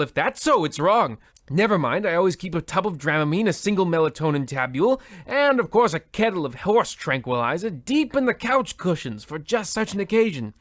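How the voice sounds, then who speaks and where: A man's voice: high voice, fairly bright tone, no echo, clean studio sound. An actor playing a pompous, overwrought husband half out of his wits, theatrical and florid, in a comedic old-time radio play. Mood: indignant.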